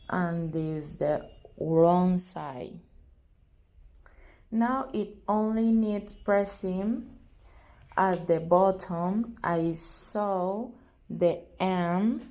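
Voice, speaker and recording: A woman's voice: mid-range voice, mellow, roomy sound. A Colombian woman, a native Spanish speaker, explaining a sewing project step by step in careful, hesitant English with a Colombian accent. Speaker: sewing tutor. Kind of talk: sewing tutorial narration.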